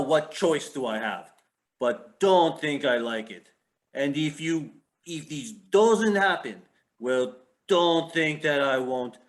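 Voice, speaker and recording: An actor playing a menacing, impatient Russian gangster in a play reading, with a Russian accent and curt broken English. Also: male voice, medium pitch, lightly acted. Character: menacing, impatient Russian mob boss's enforcer, a man.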